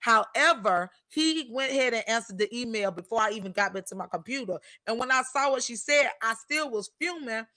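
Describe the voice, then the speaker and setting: A woman's voice: fairly high pitch, a little fast, few pauses, no echo, clean studio sound. Profane, brassy woman in her thirties. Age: 30s. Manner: blunt, profane, animated. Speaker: teacher and discussion panelist. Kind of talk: heated casual discussion.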